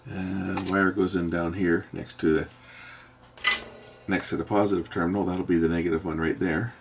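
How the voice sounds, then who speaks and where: A man's voice: sits low, normal speed, vocal fry. A man giving casual, hands-on repair commentary, thinking aloud and muttering to himself as he works in an indoor workshop. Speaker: electronics repairer hosting a repair video. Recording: faint steady background noise.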